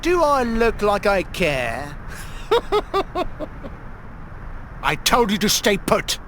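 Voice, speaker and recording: An actor playing a greedy, menacing villain in a video game, mocking and taunting in a lecherous way. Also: male voice, high voice, huge pitch swings, extremely slow, fairly bright tone, slight background noise, exaggerated voice, low-quality recording. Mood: gloating. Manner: taunting, mocking, with sneering pet names.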